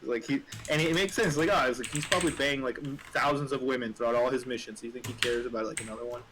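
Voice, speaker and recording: A man in casual, opinionated conversation, rambling in an animated way with frequent filler words and some swearing. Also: medium pitch, fast. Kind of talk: casual chat, opinionated film talk. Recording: noisy room with keyboard clatter.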